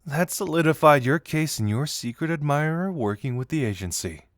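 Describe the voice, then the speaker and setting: Casting: male actor as teasing young detective confidant for audio roleplay, animated intonation, amused conversational delivery, dry close sound. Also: fairly high pitch, normal speed, no echo, natural voice. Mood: amused, recapping. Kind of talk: intimate scripted audio roleplay addressed to the listener.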